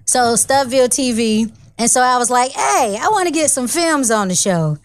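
A woman hosting a casual chat show, talking in a loud, playful way and laughing easily. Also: a little high, expressive, moderate pace, clean tone, dry recording. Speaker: host.

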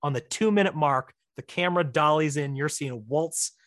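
A man speaking as an interview guest, telling behind-the-scenes filmmaking stories in a casual, animated, chatty way. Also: fairly high pitch, moderate pace, no echo, clean studio sound.

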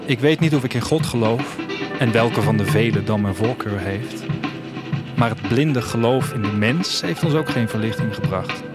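A man's voice: mid-range voice, normal speed, natural voice. Wistful male actor as a traveller, music behind. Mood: wistful, reflective. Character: wistful, restless traveller leaving his future city. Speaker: narrator. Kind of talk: literary first-person audio drama narration.

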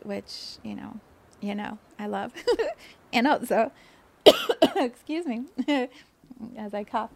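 A woman in her fifties talking alone in an earnest, meandering way, with frequent fillers, restarts and soft laughs. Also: mid-range voice, creaky. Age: 50s. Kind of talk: reflective solo talk.

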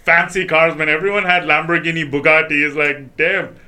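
A man guest on a comedy chat show, joking and telling animated stories while talking outdoors. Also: a little high, fairly quick, a crowd in the background. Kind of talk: casual chat.

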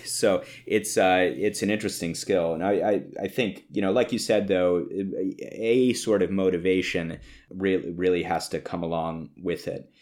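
A podcast host with a distinctly low male voice. He talks in a reflective, conversational way and tends to explain things through anecdotes. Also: steady tone, moderate pace, a little mellow. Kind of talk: conversational interview.

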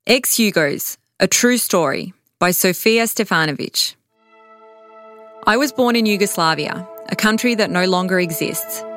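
Calm woman narrating her life story. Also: medium pitch, unhurried. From Serbia. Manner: calm, reflective, wry. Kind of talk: scripted personal narration. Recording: music bed underneath.

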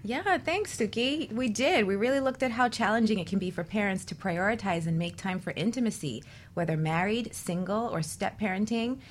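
Female talk radio host, medium pitch, presenting semi-scripted segments in a coaching role. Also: normal speed, hardly any pauses, slight background noise.

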